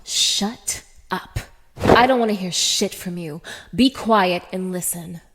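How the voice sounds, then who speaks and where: A woman's voice: fairly low pitch, takes it slowly. A woman playing a cruel, scornful dominatrix in an intimate ASMR-style audio roleplay, talking down to her listener with open contempt. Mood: furious, disgusted. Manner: sneering, scornful, swearing, swinging from breathy murmurs to raised snaps. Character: cruel, contemptuous young dominatrix belittling a submissive man.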